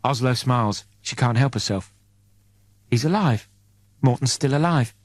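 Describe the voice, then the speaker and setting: A man reading an audiobook as a dramatic, suspenseful narrator in deliberate short phrases. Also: medium pitch.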